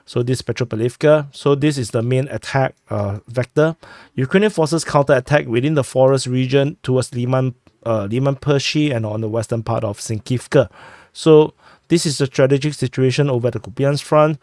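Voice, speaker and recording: A man who is sick, hosting a war update and walking through a map, talking haltingly with many fillers and restarts on a close mic. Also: mid-range voice, a little mellow, dry recording. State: sick, clearing throat. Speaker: war news host.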